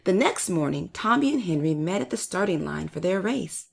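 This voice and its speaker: A woman hosting and narrating a children's read-aloud story with expressive, emphatic delivery. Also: on the low side, normal speed, vocal fry, slightly rough. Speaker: storyteller and channel host.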